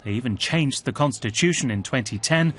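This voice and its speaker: A man narrating a television news report in a measured, scripted voice, also voicing translated quotes. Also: mid-range voice. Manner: measured, even reporting delivery.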